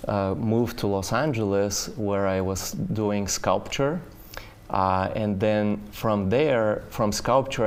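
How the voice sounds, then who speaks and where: A man's voice: lowish voice, clean tone. A middle-aged man from Bulgaria, a painter, telling his story in a casual interview, with many fillers and restarts. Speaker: painter, studio guest.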